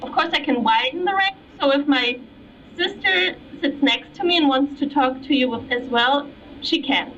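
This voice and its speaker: Casual: slow-talking young woman at a fair booth, kinda far from the mic, dull sound with noise. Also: sits high, a bit bright. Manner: slow, explanatory, checking in with "okay?". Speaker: product demonstrator. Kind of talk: live product demonstration. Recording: dull distant audio with trade fair noise.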